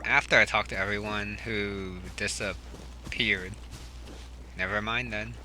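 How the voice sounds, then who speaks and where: A man's voice: fairly low pitch, fairly even intonation, takes it slowly, rough recording. A man giving casual commentary as he plays a video game. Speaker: video game player commenting on his playthrough. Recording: game music clearly behind the voice.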